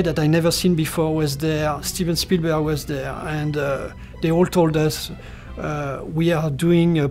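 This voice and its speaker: A man's voice: on the high side, darkish tone. A middle-aged man from France with a French accent, recalling his career in an interview with many fillers and restarts. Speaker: animation character designer. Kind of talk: talking-head interview, recollections.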